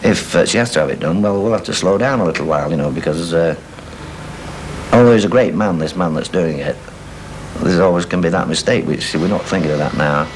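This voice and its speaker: A man, talking in a documentary interview at home, halting often with fillers. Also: low-pitched, poor audio. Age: 60s. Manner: hesitant, halting with many fillers. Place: living room at home. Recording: old VHS tape with faint hiss.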